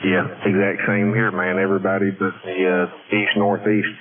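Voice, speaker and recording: A man's voice: sits low, little pitch movement, moderate pace, narrow, tinny audio, crowd noise. A man chatting casually over two-way radio in folksy, courteous radio slang. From Tennessee, United States. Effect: two-way radio transmission. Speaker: CB radio operator. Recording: thin, distant CB radio signal.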